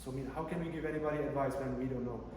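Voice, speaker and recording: A man's voice: mid-range voice, steady tone, rapid-fire, a little mellow. A man from the giving an academic conference talk, conversational, with many fillers and restarts. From Czech Republic. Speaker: conference presenter, PhD researcher. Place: large conference room. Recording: echoey hall from far off, room noise.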